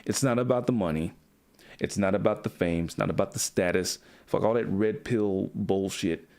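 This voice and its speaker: Man, somewhat low voice, blunt and profane, motivational coaching talk to camera. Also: normal speed, gravelly, a little mellow. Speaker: men's coach.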